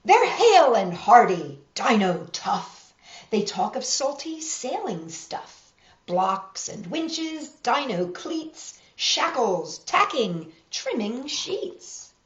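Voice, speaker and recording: Woman, storytime reader, higher-pitched voice, expressive rhyming delivery, unhurried, roomy echo. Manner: measured, expressive reading with emphasized rhymes. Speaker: storytime host reading picture books. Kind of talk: read-aloud storytelling with asides to children. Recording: roomy echo, slightly dull sound.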